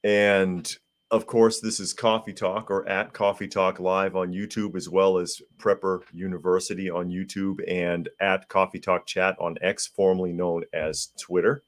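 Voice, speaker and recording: A man hosts a live podcast in a low, slightly hoarse voice, his throat still sore from a recent illness. He talks casually and plainly, reading viewer comments aloud and answering them. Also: little pitch movement, unhurried. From United States. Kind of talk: casual live-stream chat.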